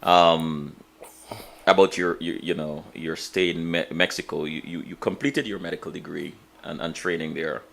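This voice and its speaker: A man's voice: sits low, steady tone, normal speed, creaky, fairly bright tone, slight background noise. A man hosting an interview, asking long, searching questions with many stammered restarts. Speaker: interview host.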